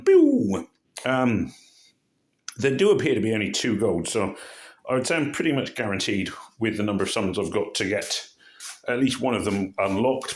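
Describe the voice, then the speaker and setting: A hoarse, croaky man with a cold, giving rambling, weary commentary on a mobile game he is playing. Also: mid-range voice. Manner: rambling, weary, self-deprecating. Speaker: game channel host. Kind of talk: casual gameplay commentary.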